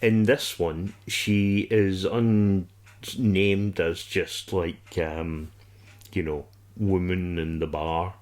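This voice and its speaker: A man co-hosting a podcast, talking casually about a TV show in a halting, rambling way. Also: deep voice, fairly even intonation, a little mellow. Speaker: podcast co-host.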